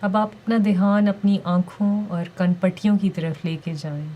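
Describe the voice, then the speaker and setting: A woman leading a guided meditation with calm, gentle, deliberate instructions and pauses between phrases. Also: mid-range voice, muffled recording.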